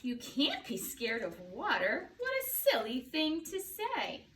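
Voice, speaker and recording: A woman's voice: high voice, wildly swooping pitch, slow, fairly bright tone, heavily acted. A woman voicing a dismissive penguin father from a storybook, in a chiding manner. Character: dismissive penguin daddy, an adult male. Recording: echoey, distant mic, faint background noise.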